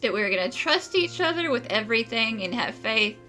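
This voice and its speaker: A woman playing a quick-witted traveling adventurer in a video game, with a wry, expressive delivery. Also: fairly high pitch, soft background music. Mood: assured.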